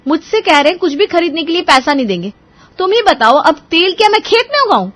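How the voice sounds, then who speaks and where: A woman voicing a sharp-tongued, scheming young daughter-in-law in a cartoon moral story, sarcastic and emphatic. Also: very high-pitched, sing-song, fast talker, pitched above the performer's own voice, heavily acted. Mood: indignant, exasperated. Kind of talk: animated Hindi moral story dialogue.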